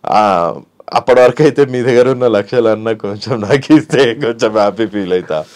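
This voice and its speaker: A man's voice: on the low side, normal speed, a little mellow. Emphatic man with a Telangana Telugu accent. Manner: emphatic, repeats points, mixes in English phrases. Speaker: interview guest. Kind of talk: casual, opinionated interview talk.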